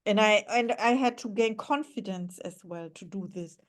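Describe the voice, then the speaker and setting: A woman with a German accent hosting a podcast interview, thankful and warm, with light laughs. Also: medium pitch, normal speed. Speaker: podcast host. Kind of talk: conversational interview.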